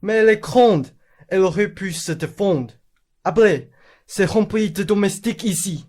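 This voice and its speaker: A woman playing a slow-witted, bewildered elderly upper-class gentleman in a radio play. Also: medium pitch, close to an everyday voice. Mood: distressed.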